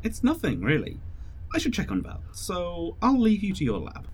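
Guy acting kind polite dad type, audio drama, pitch jumps all over, gentle, faint noise behind. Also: wildly swooping pitch, normal speed. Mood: kind, modest. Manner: gentle, courteous, reassuring. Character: kindly, polite, fatherly man in charge.

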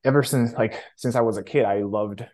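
A man, answering interview questions in a casual, halting way with many restarts. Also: on the low side, steady tone, darkish tone. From Romania. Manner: halting, frequent self-restarts and fillers. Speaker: startup founder guest.

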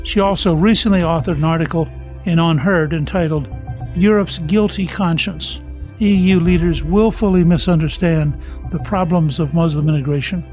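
An older man hosting a podcast, introducing his guest in a measured, formal way and asking her questions. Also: on the high side, fairly even intonation, a little mellow, faint music behind, poor audio. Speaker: podcast host.

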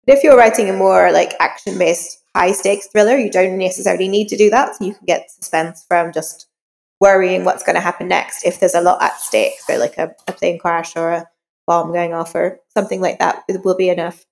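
A woman's voice: fairly low pitch, steady tone, a little fast, gravelly, darkish tone, poor audio. A woman novelist being interviewed, explaining her craft in an informal, conversational way.

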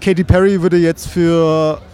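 A man's voice: a little high, level tone, unhurried, darkish tone, clean tone. A young man in casual banter, rambling along in a joking, conversational way. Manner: joking, loose rambling storytelling.

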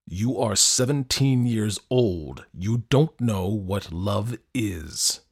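A man's voice: low-pitched, normal speed, slightly rough. A man voicing a stern, angry father in an audiobook, pounding out his words emphatically. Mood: seething. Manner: pounding each word separately, emphatic. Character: angry, stern, forbidding father of a teenage girl. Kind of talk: audiobook character acting.

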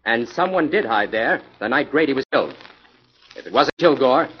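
An actor playing a self-assured, decisive crime-fighting sleuth in a 1940s radio drama, speaking in a brisk, commanding manner. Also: male voice, medium pitch, lively intonation, breathy edge. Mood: assured, firm. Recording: muffled, noisy 1940s radio recording.

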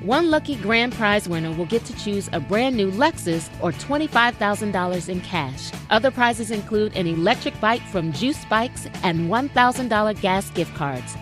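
A woman reading scripted radio promo narration as an announcer. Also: mid-range voice. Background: music bed.

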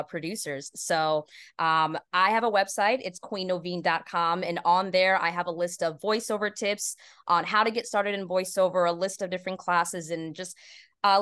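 Casting: female podcast guest, slightly low register, clean resonant tone, conversational interview delivery. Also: fairly bright tone, clear, resonant tone. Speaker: podcast guest, voiceover artist and PA announcer.

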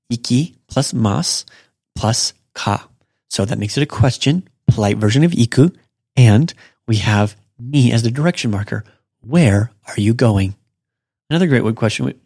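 A man hosting a podcast, giving a casual language lesson and slowly repeating example phrases. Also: medium pitch, darkish tone, slightly rough, dry recording, studio quality. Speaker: podcast host and Japanese teacher.

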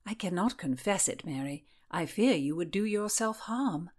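A woman's voice: fairly low pitch, lively intonation, moderate pace. A woman voicing a pious court lady who speaks in a dry, correcting way.

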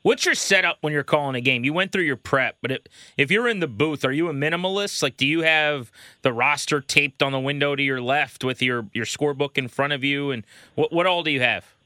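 Bright-voiced man, casually interviewing. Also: on the high side, a little fast, no echo, clean studio sound. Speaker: podcast co-host, interviewer.

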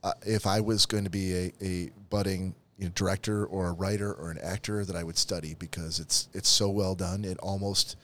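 A man's voice: low voice, fairly even intonation, a little fast, few pauses, fairly bright tone. A man in casual conversation about a movie, often restarting and repeating his words. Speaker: podcast co-host.

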